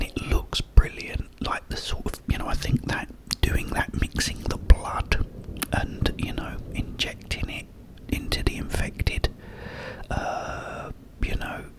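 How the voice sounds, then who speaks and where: A man whispering a rambling, gently enthusiastic ASMR chat in a breathy, hushed voice, close to the microphone. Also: extremely high pitch, rough recording. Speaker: ASMR creator.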